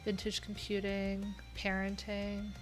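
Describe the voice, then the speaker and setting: A woman's voice: mid-range voice, completely flat delivery, slow pace. A woman hosting a casual livestream, reading out viewers' answers and adding loose, chatty asides with lots of fillers. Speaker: livestream host. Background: pop punk music playing.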